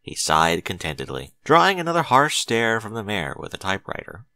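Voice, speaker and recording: A man narrates fiction with a very lively, swooping delivery at an average pitch. His voice is bright and forceful, recorded dry and close, and he phrases each line theatrically with clear emphasis. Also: a little fast, no room sound. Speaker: audiobook narrator. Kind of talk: dramatic scripted story narration.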